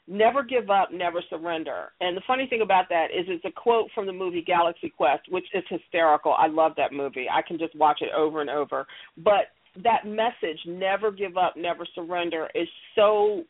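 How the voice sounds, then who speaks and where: A middle-aged woman giving interview answers in an emphatic way, repeating key phrases for stress. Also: on the low side. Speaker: interview guest, audio theater creator and company leader. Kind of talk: interview answers, explaining her success strategies. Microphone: telephone or call connection. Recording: muffled, band-limited phone line.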